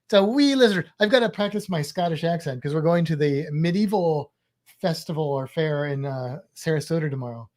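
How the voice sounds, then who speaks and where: A man's voice: fairly high pitch, expressive, a little fast, a little mellow. A man co-hosting a casual cruise podcast, joking around and making puns. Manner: jokey, playful with puns. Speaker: podcast co-host. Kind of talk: casual chat.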